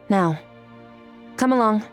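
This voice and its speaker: A woman voicing a cool-headed, aloof mentor in a video game, who speaks in a curt, businesslike way. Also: medium pitch.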